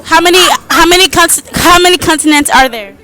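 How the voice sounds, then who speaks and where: A woman's voice: very high-pitched, a little fast, bright, forceful tone. A teenage girl asking quiz questions as the host of a casual public interview, in an informal way. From United States, Texas. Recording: outdoor vlog with people chattering around. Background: faint crowd chatter.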